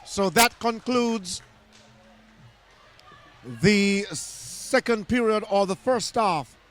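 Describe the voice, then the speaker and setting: A hoarse man doing live netball commentary in an indoor arena, excited and often restarting his phrases. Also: high voice, extremely slow.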